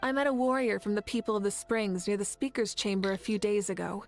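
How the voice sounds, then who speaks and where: A woman voicing a thoughtful adult game character who tells of events in a calm, measured way. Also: mid-range voice, soft background music, natural voice. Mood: reflective.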